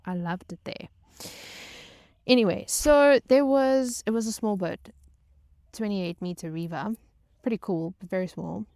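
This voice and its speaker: A woman vlogger telling personal stories casually, in a halting, chatty way with wry exaggeration. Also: medium pitch, mellow, no room sound, studio quality. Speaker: vlog host telling stories.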